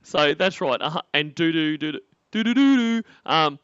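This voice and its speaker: A man's voice: a little high, expressive, measured pace, fairly bright tone, clean tone, no echo. Mocking guy, dry dull mic. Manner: mocking, laughs a lot. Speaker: co-host reacting to a stream. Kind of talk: casual banter.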